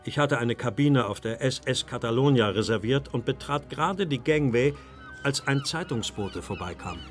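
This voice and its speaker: A man playing an earnest, anxious English gentleman recounting his ordeal in a formal, deferential way in a German audio drama. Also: mid-range voice, lively intonation, normal speed, faint music behind, lightly acted. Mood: grave recounting.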